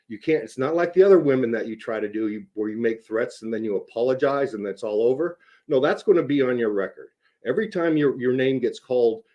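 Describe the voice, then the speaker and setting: A man with a mid-range voice and a mellow, rounded tone delivers an unscripted rant. He is blunt and insistent, repeats short phrases for emphasis, and swears casually as he makes his points. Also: mid-range voice. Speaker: online video host. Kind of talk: unscripted rant to camera, addressing a rival.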